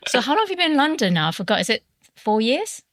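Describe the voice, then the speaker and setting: A woman's voice: a little high, big pitch swings, quick pace, fairly bright tone, no room sound, clean studio sound. A woman hosting a casual podcast, blunt and teasing, who swears freely as she chats with a friend. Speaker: podcast host. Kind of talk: casual chat.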